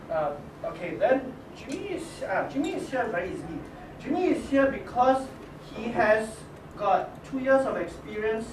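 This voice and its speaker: A man with a Singaporean English accent leading a workshop, introducing his team in halting speech, full of restarts and checks of "okay?". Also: high voice. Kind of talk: casual spoken presentation to teachers. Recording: echoey room from a distance with noise.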